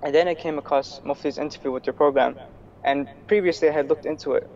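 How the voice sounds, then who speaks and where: A young man from New York, a student guest in a casual video-call interview, talking in a relaxed way with many fillers and restarts. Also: a little high, fast. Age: young adult. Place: small room indoors. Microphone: earphone headset on video call. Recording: slightly dull video call audio.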